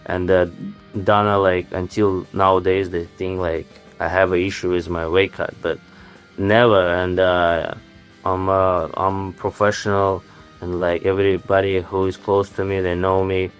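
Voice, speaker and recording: A young man, a native Armenian speaker, answering interview questions in halting English full of fillers like "uh" and "like". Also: deep voice, little pitch movement, soft background music. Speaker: interviewed fighter.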